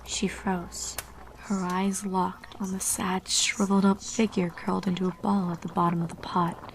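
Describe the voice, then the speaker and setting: A woman with a medium-pitched voice narrates a grim fantasy tale. She reads from a script in a dramatic storytelling style suited to horror. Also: level tone, moderate pace, slight background noise, low-quality recording. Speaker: fan fiction narrator.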